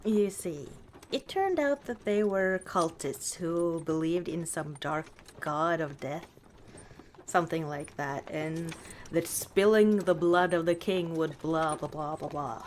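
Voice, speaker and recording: A woman playing a warm, teasing orc chieftain wife in an unhurried, dryly dismissive ASMR roleplay monologue. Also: low voice, slight background noise. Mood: amused, dismissive.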